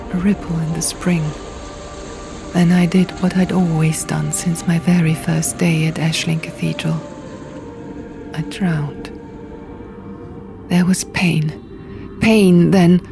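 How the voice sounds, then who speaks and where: A woman reading a fantasy audiobook dramatically in the first person, in hushed, deliberate short phrases, over music and sound effects. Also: low-pitched, gravelly. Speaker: audiobook narrator.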